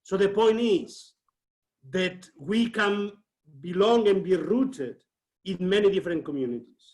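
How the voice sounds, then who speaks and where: Man, halting and thoughtful. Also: sits high, darkish tone. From Spain. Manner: thoughtful, with many hesitations and restarts. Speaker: seminar participant asking a question.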